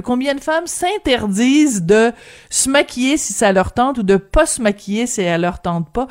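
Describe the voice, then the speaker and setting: A woman with a Quebec French accent interviewing a guest, enthusiastic and affirming. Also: fairly high pitch. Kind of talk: friendly interview.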